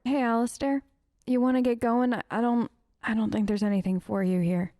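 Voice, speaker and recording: A woman let's player improvising in character over a game, conversational, gentle and reassuring, pausing as she finds her words. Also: fairly high pitch, fairly even intonation, no echo, clean studio sound.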